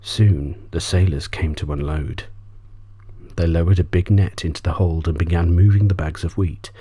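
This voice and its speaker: A man reading a children's story as soft ASMR bedtime narration. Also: deep voice, steady tone, normal speed, slightly rough. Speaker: audiobook reader.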